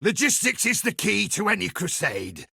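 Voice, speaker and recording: A man voicing a zealous, wry templar priest in a video game, with a gravelly, raspy voice and a preachy, theatrical delivery. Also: high voice, wildly swooping pitch, normal speed, bright, forceful tone, no echo, studio quality, full character voice. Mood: resolute, zealous. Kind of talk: video game character voice acting.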